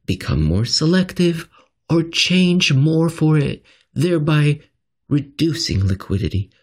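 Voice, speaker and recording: A man's voice: on the high side, sing-song, measured pace, voice pushed up. A man voicing a female central bank official giving a careful, formal speech in an article reading. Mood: formal, measured. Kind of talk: acted quote in an article reading.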